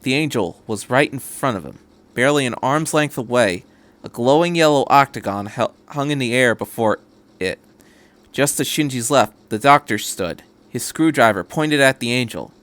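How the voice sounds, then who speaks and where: A male podcast narrator reads fiction aloud. His voice sits at a medium pitch, and he tells the story in a measured, scripted way. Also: expressive, a bit bright.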